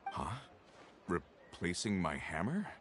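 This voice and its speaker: A man's voice: low-pitched, wildly swooping pitch, slow pace, background noise, heavily acted. A man playing a humble, world-worn carpenter and family man in a video game, plain-spoken, hesitant and earnest. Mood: puzzled, musing. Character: weary, humble working-class family man, adult carpenter. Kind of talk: scripted video game dialogue.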